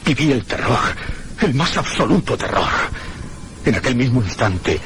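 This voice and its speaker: A man playing a solemn, haunted narrator in a radio horror drama, with suspenseful, deliberate dramatic phrasing. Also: mid-range voice, sing-song, lightly acted. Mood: terrified. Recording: noisy radio broadcast recording.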